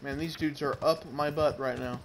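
A man's voice: on the high side, fairly even intonation, normal speed. A man giving casual let's-play commentary, talking to the game's characters and swearing mildly as he plays. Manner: mild swearing, talks to game characters. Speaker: gamer narrating his playthrough. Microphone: Bluetooth headset. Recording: noisy, grainy Bluetooth headset audio with game sounds. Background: game audio with horse hooves.

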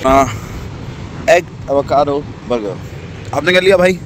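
A man vlogging a road trip in casual, excited chat that mixes Hindi and English. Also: on the high side, measured pace, a little hiss or hum. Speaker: travel vlogger. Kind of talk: casual travel vlog chat. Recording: loud music behind the voice.

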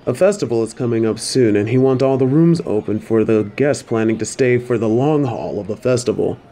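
An easygoing traveling driver in an audio drama, played by a man. He has a dark, relaxed tone at a middle pitch and chats casually, like a friendly companion on the road. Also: a little fast, lightly acted. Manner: casual, chatty. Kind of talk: fan audio drama acting.

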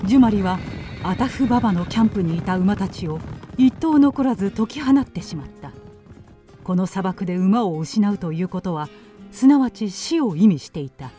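A female narrator reads a scripted radio drama. Her voice is mellow and medium in pitch, with light music behind her. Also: lively intonation, moderate pace.

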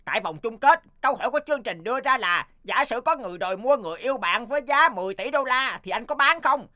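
A woman's voice: fairly high pitch, expressive, quick pace, pushed and bright, no echo, clean studio sound. A woman voicing an upbeat male game show host in a comedy cartoon, formal and showy. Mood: announcing. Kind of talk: animated comedy cartoon dub.

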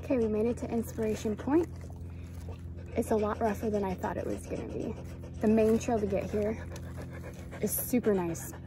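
A woman's voice: medium pitch, fairly even intonation, moderate pace, long pauses. A young woman in her twenties, casually vlogging to her phone about a hike with her dog, chatty and upbeat. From Minnesota. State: sweaty after a climb. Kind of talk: casual vlog chat. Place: in a car and outdoors on a wooded bluff. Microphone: phone camera held at arm's length. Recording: phone recording with clear background noise.

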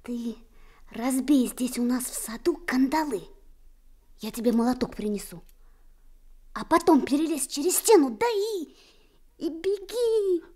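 An earnest little boy of a gentle household in a Soviet radio play. The voice is very high and mellow, and takes its time over the words. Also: lively intonation, unhurried, pauses often. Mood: earnest, eager. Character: earnest, kind-hearted little boy, an admiral's son. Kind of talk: Soviet radio drama acting.

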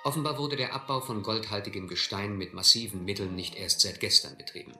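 Canny sea adventurer in an old radio play, very crisp forceful tone, echoey w/ a bit of hiss. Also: male voice, on the low side, steady tone, a little fast, faint music behind. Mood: reflective, suspenseful. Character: shrewd, adventurous seafaring man, quietly probing. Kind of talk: radio drama acting, first-person narration and dialogue. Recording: roomy, slightly noisy old radio-play recording.

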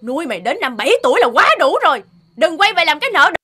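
A woman voicing a cruel, resentful stepmother scolding harshly in an animated fairy tale. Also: high voice, fast. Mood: angry scolding. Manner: harsh, contemptuous.

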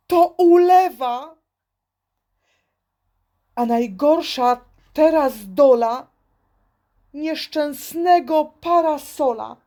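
Woman reading a kids poem, really slow and theatrical, highish voice. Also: mellow. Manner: theatrical, deliberate phrasing with pauses. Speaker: reader. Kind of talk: expressive children's poem recitation.

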